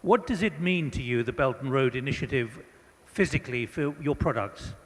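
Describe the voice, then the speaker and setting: A man moderating a panel, asking brisk, direct questions. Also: mid-range voice, animated. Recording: conference hall reverb.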